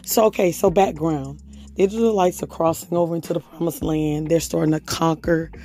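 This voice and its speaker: A hoarse woman hosts a faith podcast in a relaxed, chatty way. Her voice sits fairly low and sounds mellow and dark. She reads scripture aloud between loose, conversational asides, with faint music underneath. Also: fairly quick. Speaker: podcast host. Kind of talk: casual devotional podcast talk.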